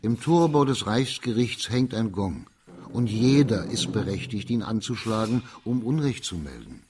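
An actor in a radio drama playing a stern, authoritative judge of ancient China, questioning formally and articulately. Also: male voice, fairly low pitch, expressive, moderate pace, background noise, lightly acted. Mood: stern, reproachful. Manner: formal, probing, articulate interrogation.

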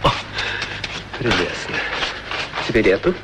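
An actor playing a cheerful, polite young opera singer, effusive and courteous, in a radio play. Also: male voice, fairly low pitch, big pitch swings, a little slow, heavy background noise. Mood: courteous, pleased. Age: young adult. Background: faint music.

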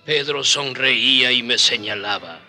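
An actor playing a captive native man who gravely narrates his memories in a radio drama. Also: medium pitch, unhurried, bright, forceful tone, faint music behind. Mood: grave, sorrowful. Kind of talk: scripted first-person drama narration.

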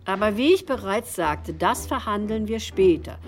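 A woman's voice: mid-range voice, lively intonation, moderate pace, soft background music, lightly acted. A woman playing a sober, restrained co-narrator in a radio documentary drama, matter-of-fact and keeping order. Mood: restrained, factual.

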